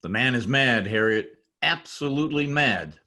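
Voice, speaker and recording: A man's voice: medium pitch, expressive, moderate pace, pushed and bright. Man as a swooping, theatrical villainous husband. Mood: indignant. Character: timid-looking murderous husband, indignant and cornered. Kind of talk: 1940s radio drama acting.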